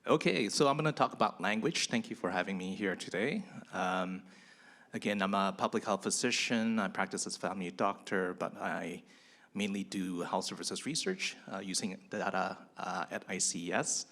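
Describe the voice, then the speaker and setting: A man's voice: low voice, moderate pace, fairly bright tone. A man originally from Indonesia, whose first language was Indonesian, giving a chatty, self-deprecating conference talk with many fillers. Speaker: conference speaker, physician researcher. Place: conference hall.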